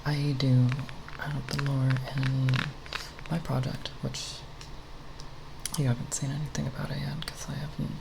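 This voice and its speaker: A woman speaking in a breathy, creaky whisper for ASMR, rambling in a halting, nerdy way. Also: rumbling low, flat delivery, noisy background. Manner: halting, unhurried, nerdy musing. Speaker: ASMR video creator. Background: plastic bottle tapping.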